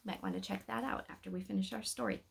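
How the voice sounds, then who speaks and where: A woman reads a story aloud at a medium pitch. Her narration is expressive, with emphatic phrasing that sets out each detail. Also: fast talker, vocal fry, a little hiss or hum.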